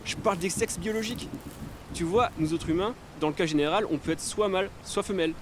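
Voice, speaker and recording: An exasperated young space traveler, played by a man, high-pitched and quick. He explains with long-suffering sarcasm in an audio drama. Faint outdoor ambience sits behind him. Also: noisy background, natural voice. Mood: patient explaining. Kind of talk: French audio drama acting.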